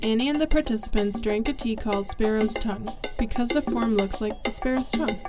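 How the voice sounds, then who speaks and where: Woman, voice-over narrator, higher pitch, measured, loud music bed.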